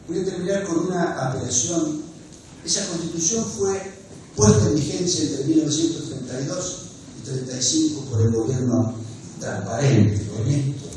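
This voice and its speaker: A man in his sixties with an Argentine Spanish accent, giving a measured, earnest formal speech at a desk microphone in a wood-panelled university hall. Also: mid-range voice, dark tone, slight background noise. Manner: measured and earnest, gestures with his hands, pauses often mid-phrase. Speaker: speaker at a book presentation. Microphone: desk microphone on a stand. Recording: very echoey hall, voice picked up from a distance.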